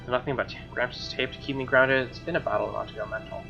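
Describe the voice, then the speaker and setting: A man reading visual novel narration aloud as a let's player, expressive, with casual asides and reactions. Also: medium pitch, quick pace. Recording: dull lo-fi mic, music clearly behind. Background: game music.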